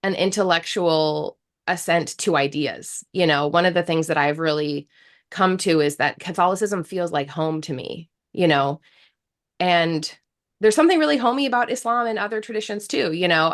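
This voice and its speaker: A woman's voice: lowish voice, normal speed. A woman in her thirties from the United States, a guest speaking earnestly and reflectively in an interview, with frequent restarts. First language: English. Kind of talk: casual interview talk.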